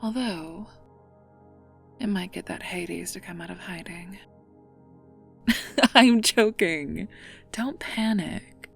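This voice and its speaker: A woman acts out a regal goddess in an ASMR audio drama, playful yet wistful. Her voice sits low with a creaky edge, often sinking into a breathy whisper, and she muses in a slow, teasing way. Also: fairly bright tone, faint music behind, heavily acted. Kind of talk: intimate ASMR roleplay acting.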